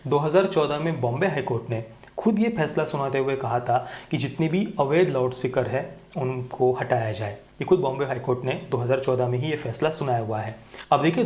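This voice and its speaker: A man's voice: medium pitch, darkish tone. A man giving earnest opinion commentary to viewers, emphatically repeating his key points. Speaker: video commentator. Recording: roomy, echoing indoor sound.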